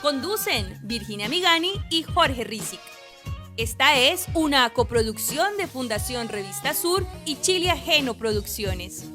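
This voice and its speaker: Woman announcer, high and polished, reads the intro over music. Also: expressive, a little slow. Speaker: show announcer. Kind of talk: scripted narration.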